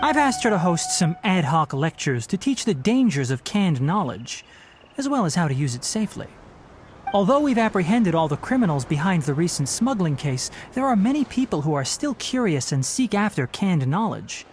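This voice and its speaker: A young male forest scholar from a video game, with swinging, expressive intonation. He is articulate, sensible and caring, chiding in a dry, matter-of-fact way. Music is clearly heard behind him. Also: sits high, moderate pace. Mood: practical, caring. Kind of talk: scripted video game character dialogue.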